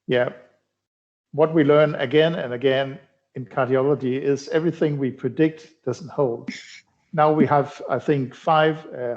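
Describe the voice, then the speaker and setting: A middle-aged man from Denmark, a cardiology researcher answering interview questions in a halting way, with many fillers and restarts. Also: mid-range voice. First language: Danish. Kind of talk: conversational expert interview. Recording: dull lo-fi sound.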